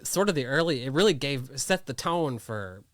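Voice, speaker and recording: A man's voice: medium pitch, lively intonation, normal speed, fairly bright tone, no echo, clean studio sound. A man in his thirties chatting casually in an interview, rambling and restarting often. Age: 30s. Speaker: magazine editor and producer.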